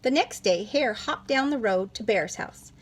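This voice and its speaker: A woman reading a picture book aloud to children in an animated, teacherly way, pausing to question and explain to her listeners. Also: fairly high pitch, slight background noise.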